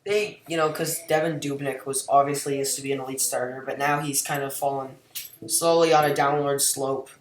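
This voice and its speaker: A man's voice: mid-range voice, fairly even intonation, normal speed. In an echoey room with faint background noise, a man co-hosts a sports podcast. He chats casually and off the cuff. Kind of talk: casual unscripted hockey chat.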